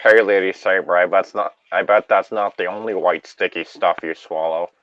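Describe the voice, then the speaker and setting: A man bantering casually and reading a story aloud haltingly, recorded on a slightly dull mic. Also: deep voice, steady tone, fairly bright tone, slight background noise.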